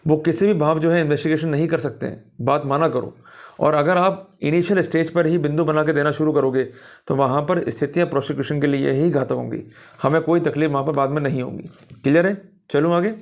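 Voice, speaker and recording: An Indian man giving a didactic, explanatory law lecture, deliberately explaining points as a teacher does. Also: fairly high pitch, steady tone. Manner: didactic, often checks that listeners follow. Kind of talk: explanatory lecture mixing Hindi commentary with English read-outs.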